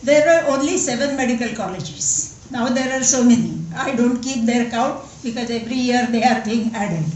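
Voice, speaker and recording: An older woman in her seventies with a Marathi-accented English voice that sits a little high. She speaks earnestly and emphatically, repeating words for stress. Her voice rings through a large echoey hall from some distance off the mic. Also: a little mellow, a little hiss or hum. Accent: Indian English, Marathi. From Western Maharashtra, India. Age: 70s. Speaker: guest speaker, senior doctor. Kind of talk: conference speech.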